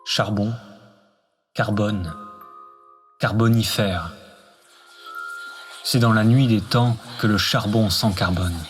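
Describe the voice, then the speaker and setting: A man's voice: fairly low pitch, takes it slowly, pauses often. A man delivering a scripted, poetic radio monologue with music behind him. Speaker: narrator.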